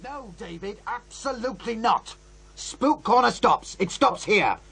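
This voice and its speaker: Creaky, exasperated British radio boss. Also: male voice, high-pitched, animated, unhurried, fairly bright tone, heavily acted. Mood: firm, exasperated. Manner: curt, scolding, condescending. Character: exasperated, dismissive radio boss standing firm. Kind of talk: radio drama acting.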